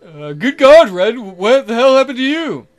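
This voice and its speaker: A man's voice: very high voice, sing-song, moderate pace, soft background music, pitched above the performer's own voice. A man voicing a jealous, scornful male character with an indignant, sneering manner in a visual novel playthrough. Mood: alarmed. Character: scornful jealous male acquaintance, loud and accusing.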